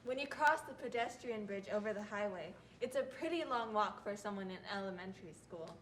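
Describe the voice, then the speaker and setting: A woman's voice: medium pitch, lively intonation, fairly quick, lightly acted, low-quality recording. A young woman, a student narrator reciting scripted readers theatre lines. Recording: faint background noise.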